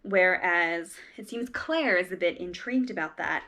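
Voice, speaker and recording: A woman's voice: fairly low pitch, expressive, moderate pace, sharp tone. A woman casually recapping and reading along with a book, talking in a conversational way with wry asides. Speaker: read-along host.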